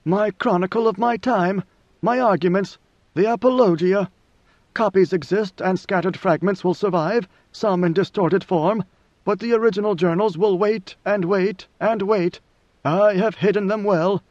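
A man voicing a grave, ancient god-emperor in a storybook reading, with slow, solemn, oracular pronouncements. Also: high-pitched, darkish tone, no room sound, dull audio, voice pushed up, natural voice. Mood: solemn, prophetic.

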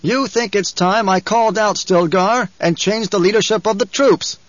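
A man's voice: sits high, animated, moderate pace, no echo, lo-fi sound, pitched above the performer's own voice. A man voicing a defiant young male rebel leader, speaking in a commanding, oratorical way in an audiobook. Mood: angry, challenging. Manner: commanding, raised oratorical delivery. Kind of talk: dramatic audiobook character voice.

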